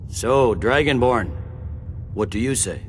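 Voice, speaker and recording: An actor voicing a stern, impatient middle-aged general in a fantasy game, clipped and commanding. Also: male voice, medium pitch, slow, slight background noise, close to an everyday voice. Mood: measured, grudging. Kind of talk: dramatic fantasy game dialogue.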